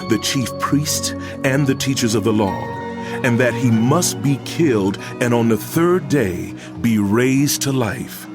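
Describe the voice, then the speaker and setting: A man giving scripted narration for a dramatized reading of scripture. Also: mid-range voice. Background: background music.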